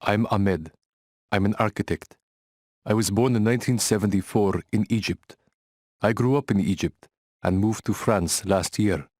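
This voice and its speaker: A man reading a scripted self-introduction in a steady, plain way. His voice sits low. The recording is dry and close. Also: normal speed, dry recording. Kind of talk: scripted textbook dialogue.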